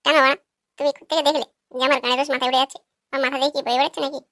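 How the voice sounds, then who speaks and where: A woman plays a brash cartoon boyfriend with a high, bright voice. He talks extremely fast in an irritable, argumentative way, recorded very dry. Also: steady tone, no room sound. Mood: irritated. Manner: crude, argumentative. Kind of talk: cartoon comedy dialogue.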